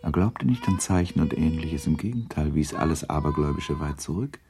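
An actor as a measured, gently ironic narrator of a literary radio drama. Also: male voice, extremely low pitch, animated, over music.

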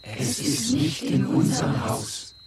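A man's voice: takes it slowly, darkish tone, lightly acted. An actor playing a townsman who chants insistent reassurance in a 1960s German radio play. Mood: uneasy reassurance. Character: townsman insisting his house is healthy.

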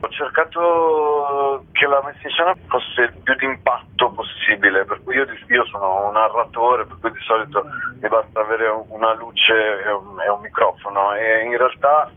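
A man giving a radio interview as a theatre guest, speaking reflectively and haltingly, often repeating his words. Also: fairly low pitch, steady tone. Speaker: guest theatre actor and author. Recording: tinny phone line on radio.

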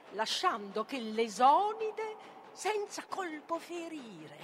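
Very high-voiced, tormented tragic sorceress. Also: female voice, a little hiss or hum, exaggerated voice. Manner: declamatory, volatile, swings from whispers to cries. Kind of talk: theatrical tragic monologue, declaimed dramatic reading.

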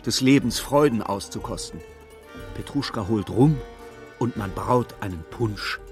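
A man narrating a literary radio drama as a measured, detached storyteller with dry irony. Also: fairly low pitch, animated, gravelly, faint music behind.

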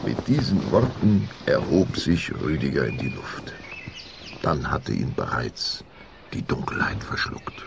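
A man narrating a German children's radio play, measured and expressive in scripted storytelling style. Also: a bass voice, creaky, whispered, heavily acted. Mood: measured, suspenseful.

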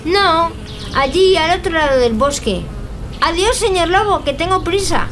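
Very high-voiced cheerful little girl. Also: animated, a little slow, fairly bright tone, slight background noise, exaggerated voice. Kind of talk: children's radio theatre acting.

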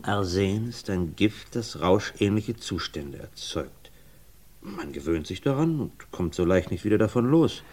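A calm doctor in a radio play, lowish voice, expressive intonation. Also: male voice, a little slow, heavily acted. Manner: calm, explanatory. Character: calm male doctor friend, probing theorist.

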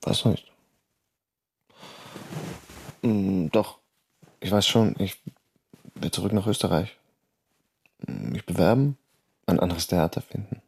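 A man's voice: sits low, unhurried, creaky, pauses often, a little mellow, husky, full character voice. A man playing a restless, insistent Austrian stage actor in a radio drama, telling his story and arguing. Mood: irritated, insistent. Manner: insistent, probing. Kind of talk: radio drama narration and dialogue.

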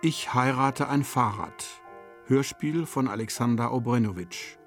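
Guy announcing radio drama credits, mid pitch, bit of music behind him. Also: a little slow. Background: faint music.

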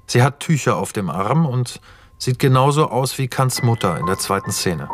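A man's voice: medium pitch, animated, moderate pace. A man narrating scripted stage directions for a radio play in a precise, matter-of-fact way. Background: music.

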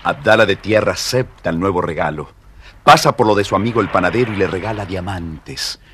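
A man's voice: deep voice, brisk, a bit bright, slight background noise. A man with an Argentine accent giving scripted narration of a children's story.

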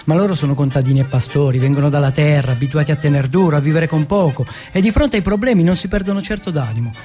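A man from Turin performing an autobiographical theatrical monologue, telling it in measured short phrases and lists. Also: a little high, dark tone, faint music behind. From Turin, Italy.

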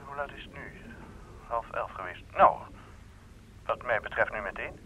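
An actor in a Dutch radio play as an obliging gentleman heard at the other end of a telephone, a little hesitant. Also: medium pitch, moderate pace, husky. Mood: surprised, obliging. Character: accommodating, slightly surprised gentleman answering the telephone. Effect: distant telephone voice. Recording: muffled, quiet telephone sound with faint noise.

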